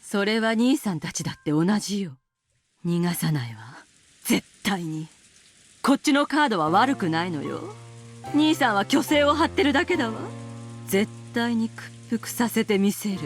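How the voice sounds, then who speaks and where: A woman voicing a proud, fierce, scheming middle-aged woman in a visual novel, sharp and combative. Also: medium pitch, expressive, fast, lightly acted. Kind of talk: Japanese visual novel voice acting. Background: music and rain.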